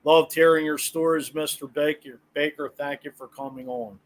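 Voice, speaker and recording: A man's voice: on the high side, steady tone, normal speed. An American man hosting a sports podcast in a casual, chatty style. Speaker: podcast host.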